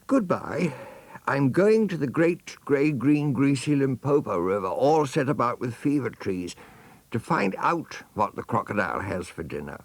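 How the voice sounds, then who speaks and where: A young storybook elephant, pitched way up high and full of lively swoops. The little creature is innocent and endlessly curious, speaking in earnest, over-polite, wordy sentences. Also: male voice, a little slow, no echo. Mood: eager, excited. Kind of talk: children's storybook character voice.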